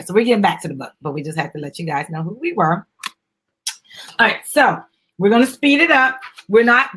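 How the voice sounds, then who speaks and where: A woman's voice: lowish voice, a bit bright, breathy edge. A woman doing a live dramatic reading of a children's book as host, with chatty, upbeat asides and encouraging prompts to a small child.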